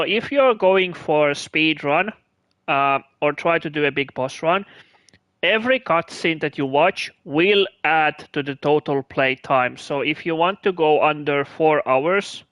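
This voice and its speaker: Guy streaming a game, kinda high voice, chats w viewers, restarts a lot. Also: fairly bright tone, no echo. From Finland. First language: Russian. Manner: chatty, answers viewers' chat, restarts phrases. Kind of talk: casual gameplay commentary.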